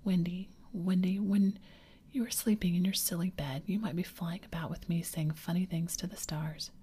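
Woman reader whispering a boastful boy hero, eager and coaxing, kept low and hushed. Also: fairly even intonation, normal speed, husky. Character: boastful boy who never grows up.

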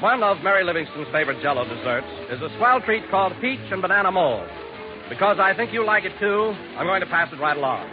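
An American man announces on 1940s radio in a high-pitched voice. He reads polished scripted copy and sponsor messages, captured on a muffled vintage recording. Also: sing-song, quick pace, music in the background.

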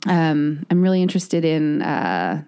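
A woman's voice: low-pitched, big pitch swings, measured pace, warm tone, clean tone. A woman in her late thirties, an author, talking reflectively in a casual interview and searching for words with many fillers. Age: 30s. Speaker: author guest.

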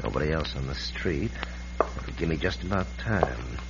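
An actor playing a crooked, menacing small-town bank president, gruff and curt, in an old-time radio western. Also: male voice, very deep voice, lively intonation, normal speed, lo-fi sound. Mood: scheming, cold. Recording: hissy, band-limited old radio broadcast.

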